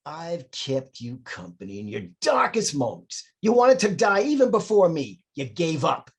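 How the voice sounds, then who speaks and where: A man playing a priest and his bitter demonic alter ego in a screenplay read, with husky, dramatic, deliberate delivery. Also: a little high, very animated, heavily acted. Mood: taunting, bitter.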